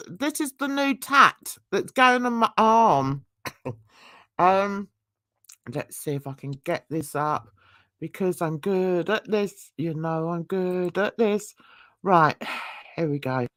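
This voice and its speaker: Slow, chatty woman in her sixties. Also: mid-range voice, fairly bright tone, dry recording, studio quality. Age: 60s. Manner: rambling, chatty, self-mocking, laughs often. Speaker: livestream host. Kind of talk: casual chat with livestream viewers.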